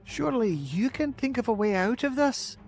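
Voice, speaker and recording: A storybook reader voicing a gentle, sensible ladybug who coaxes and soothes. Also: medium pitch, normal speed, soft background music, voice pushed up. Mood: coaxing. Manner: coaxing, calm.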